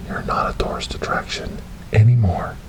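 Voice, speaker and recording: A man playing a gentle, devout rescuer in an ASMR storytelling roleplay, speaking softly and breathily in a soothing, reassuring way. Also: moderate pace, natural voice, poor audio. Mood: reassuring, solemn. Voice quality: soft, breathy, whispery. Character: gentle, devout male rescuer coaxing a lost ghost girl.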